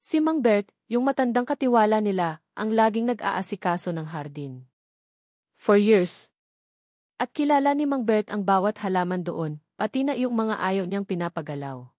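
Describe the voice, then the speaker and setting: A woman's voice: medium pitch, animated, moderate pace, a little mellow, no echo, clean studio sound, lightly acted. A woman voicing a haughty, territorial unmarried lady, emphatic and sarcastic, in an audio love story. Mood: indignant, suspicious. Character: haughty, territorial older single woman, suspicious garden owner.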